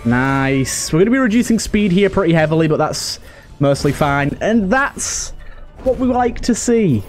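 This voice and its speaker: A man's voice: high-pitched, lively intonation, moderate pace. A man giving casual let's play commentary, muttering to himself as he thinks through his moves. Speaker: gaming video host. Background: game music.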